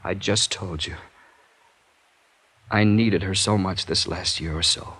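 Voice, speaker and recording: An actor playing a desperate, broken, dying husband in an old radio melodrama, full of anguish. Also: male voice, sits low, fairly even intonation, fairly bright tone, husky, dry recording, full character voice. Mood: broken, despairing. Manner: slow, anguished, emotional. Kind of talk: 1950s radio melodrama acting. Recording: vintage radio recording with music.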